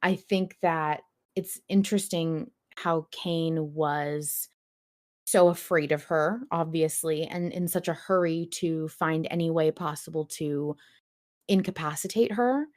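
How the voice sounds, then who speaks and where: A woman's voice: low-pitched, measured pace. A woman hosting a fan podcast in casual discussion, talking informally with the odd swear word. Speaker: podcast host.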